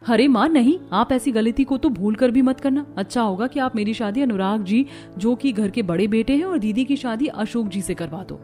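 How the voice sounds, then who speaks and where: A woman's voice: fairly high pitch, normal speed, mellow, faint music behind, close to an everyday voice. A woman playing a vain, scheming, selfish young bride in a cartoon family melodrama. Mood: sly persuasion.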